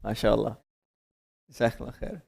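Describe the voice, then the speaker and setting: A man's voice: lowish voice, fairly even intonation, moderate pace, creaky, a crowd in the background. Man moderating a panel, Arabic blessings. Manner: conversational, sprinkles Arabic blessings, probing questions.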